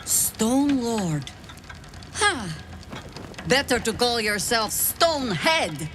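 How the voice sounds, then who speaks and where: Gruff, slow-spoken old female warrior. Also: a little high, big pitch swings, background noise, heavily acted. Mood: scornful. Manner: scornful, commanding. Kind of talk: video game voice acting.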